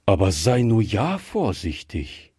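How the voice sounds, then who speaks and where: Casting: elderly storybook shopkeeper played by a man, husky, slow-paced, guilt-ridden but kind, hesitant. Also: on the low side, huge pitch swings, darkish tone, dry recording, studio quality, exaggerated voice. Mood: kindly, cautious. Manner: measured, hesitant, muttering. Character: grey-headed old male shopkeeper, cautious, guilt-ridden, gruffly kind. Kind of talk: acted storybook dialogue.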